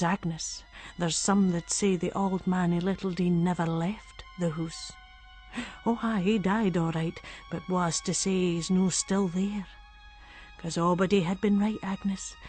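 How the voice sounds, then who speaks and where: A woman's voice: on the low side, darkish tone, close to an everyday voice. An actress playing a gossipy, superstitious Scottish village woman, chatting conspiratorially in Scots dialect as a dramatic monologue.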